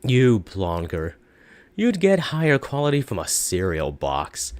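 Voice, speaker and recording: A man acts out a sly storybook pawnbroker, boastful and full of flattery. His pitch leaps about as he delivers theatrical, wheedling sales patter. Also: unhurried, no echo. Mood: mocking. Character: sly, boastful, flattering pawn shop owner, a swindler.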